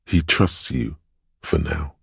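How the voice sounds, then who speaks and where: A man playing the gentle, perceptive inner voice of empathy in a role-playing game, narrating in a measured, intimate, solemn and literary way. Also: no echo, clean studio sound, heavily acted. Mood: tender, perceptive. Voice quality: very deep, gravelly, husky.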